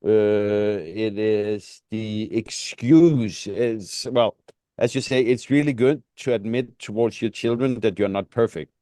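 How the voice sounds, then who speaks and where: A man's voice: fairly low pitch, steady tone, slow pace, warm tone, dry recording. A middle-aged man, a native Danish speaker with a Danish accent, co-hosting a casual reflective conversation and often searching for words. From Denmark. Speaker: podcast co-host.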